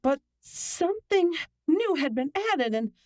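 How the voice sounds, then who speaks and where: A woman's voice: sits high, very animated, measured pace, darkish tone, dry recording, clean studio sound. A woman playing an earnest, aggrieved young client in an old-time radio drama, speaking emphatically.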